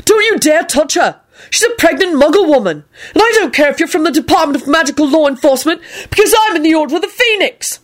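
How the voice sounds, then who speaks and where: Storybook young wizard, played by a woman: mild, gloomy, indignant when roused, very animated pitch. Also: a little fast, natural voice. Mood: angry shouting. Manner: apologetic, turning to indignant barking.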